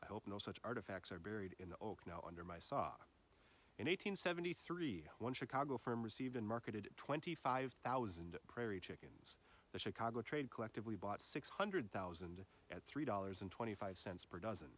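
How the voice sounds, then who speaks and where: A man's voice: on the low side, lively intonation, brisk. A man reading a nature essay aloud as scripted narration, phrase by phrase, letting the ends of sentences fall away. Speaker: reader of an essay.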